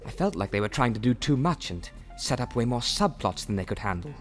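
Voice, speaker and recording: Bookish cartoon moderator, male actor: medium-pitched, polite, measured yet melodic, music underneath. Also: very animated, lightly acted. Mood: critical. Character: mild-mannered, bookish male book club leader. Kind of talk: cartoon parody voice acting.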